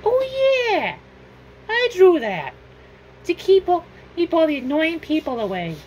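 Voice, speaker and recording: A man voicing a bratty, cheeky cartoon cup boy in a squeaky falsetto. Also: huge pitch swings, measured pace, a little hiss or hum, full character voice, low-quality recording.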